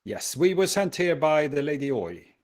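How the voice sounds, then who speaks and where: A man playing a character in a tabletop game, measured and courteous. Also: on the high side, sing-song, a little mellow. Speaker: player. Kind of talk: tabletop roleplay.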